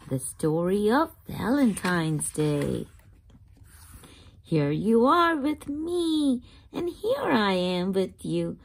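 High, slow, lilting woman reading to children. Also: creaky, a little hiss or hum. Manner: expressive, simple teacherly delivery for young children. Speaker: host of children's read-aloud channel. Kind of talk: children's picture-book read-aloud with simple questions.

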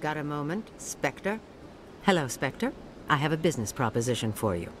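A woman's voice: low-pitched, very animated, moderate pace. A woman playing a calculating underworld businesswoman in a video game, speaking formally, with composed persuasion. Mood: coaxing. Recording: faint ambient noise behind.

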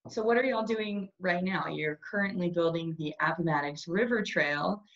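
A woman's voice: lowish voice, normal speed, fairly bright tone. A woman, hosting a video-call interview in a cheerful, encouraging way from a small plain room. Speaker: host. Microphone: webcam on a video call. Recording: dull lo-fi webcam sound.

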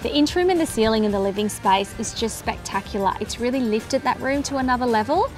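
An upbeat designer with a slightly raised voice explains her choices for a house. Clear background music plays under her talk. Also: fairly high pitch, fast talker. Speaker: renovation designer. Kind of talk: casual chat and piece to camera.

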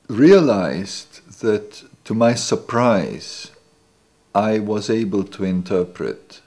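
A man's voice: low-pitched, a little mellow. A man in his sixties, not a native English speaker, recalling the past in a seated interview in a living room, hesitant with many restarts. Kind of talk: reflective recollection. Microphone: off-camera, seated interview.

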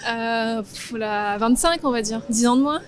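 A woman answers casually in an outdoor interview. She speaks slowly and hesitantly in a fairly high voice. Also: crowd noise. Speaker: interviewed passer-by. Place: street outdoors.

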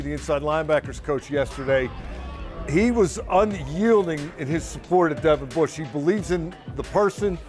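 A man in his sixties, a team reporter, talking through his analysis in a casual, conversational way outdoors at a practice field. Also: a little high. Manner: conversational, analytical, occasional dry humor. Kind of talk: casual sideline chat.

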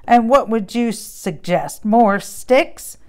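A woman's voice: a little high, lively intonation, slow pace, darkish tone. Woman doing a proud, squawking rooster. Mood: indignant.

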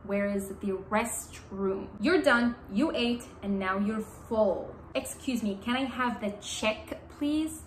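A young woman teaching English to the camera, speaking slowly and repeating each key phrase twice. Her first language is Arabic. She sits in a small room that sounds roomy, with a faint hum underneath. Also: fairly high pitch. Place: small bright indoor room.

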